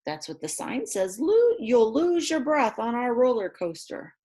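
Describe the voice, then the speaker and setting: A woman reads a picture book aloud to young children in an expressive way, adding chatty asides about the pictures. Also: on the high side, unhurried. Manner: expressive, exclaims and gasps over the pictures. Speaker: read-aloud storyteller for children.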